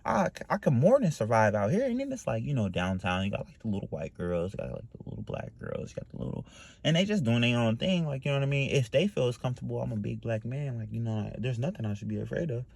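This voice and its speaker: A man's voice: medium pitch, very animated, fast talker, gravelly, a little mellow, breathy edge. A man from Ohio talking to camera in a loose, meandering way, full of fillers and "you know what I mean.". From Dayton, Ohio, US. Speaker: vlogger. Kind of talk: casual rambling monologue.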